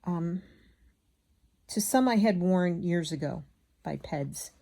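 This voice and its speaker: A woman chatting casually as she hand stitches, rambling and absent-minded, muttering to herself, with a slightly gravelly voice. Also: lowish voice, expressive, slow pace, dark tone. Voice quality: slightly gravelly from a frog in the throat. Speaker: craft vlogger.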